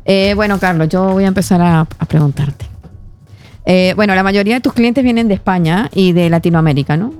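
A woman hosting an interview, asking friendly, drawn-out questions. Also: fairly low pitch, a little mellow. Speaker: interviewer, host. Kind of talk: casual interview.

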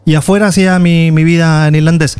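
Chilean man, tour guide guest, chatty and a bit high-pitched, lots of restarts. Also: flat delivery, a little mellow, clear, resonant tone. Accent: Chilean Spanish. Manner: chatty, restarts often, draws out pauses. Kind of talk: casual conversation.

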